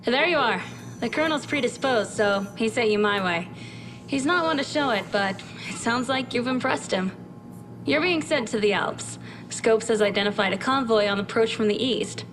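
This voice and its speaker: An actress playing a matter-of-fact military briefing officer in a video game, informal but businesslike. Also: female voice, on the high side, normal speed, over music, close to an everyday voice, rough recording. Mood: brisk.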